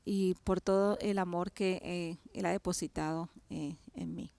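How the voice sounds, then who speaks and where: A woman from Cali with a Colombian accent tells her life story as an interview guest, her voice smooth and resonant in a dry, close recording. She speaks devoutly and haltingly, often pausing and restarting her thoughts. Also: lowish voice, fairly even intonation, mellow, no room sound. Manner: hesitant, many fillers and restarts, devout. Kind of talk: personal testimony in an interview.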